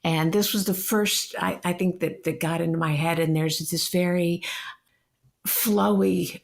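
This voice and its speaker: Woman, from Texas, podcast co-host, gravelly creak, lowish pitch, casual music talk. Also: steady tone, a little slow.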